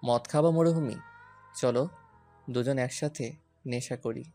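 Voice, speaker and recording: A man's voice: medium pitch, measured pace, warm tone, close to an everyday voice. A man acting a brash, possessive young man. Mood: drunk, playful. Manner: taunting, stubborn, commanding. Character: brash, possessive young man, often drunk, teasing and menacing. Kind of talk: Bengali romantic audio story acting. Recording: music bed behind the voice.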